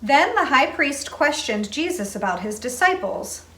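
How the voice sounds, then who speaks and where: A woman's voice: high voice, big pitch swings, unhurried, a bit bright. A woman reading a Bible passage aloud as a lay reader. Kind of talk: scripture reading. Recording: echoey, distant from microphone.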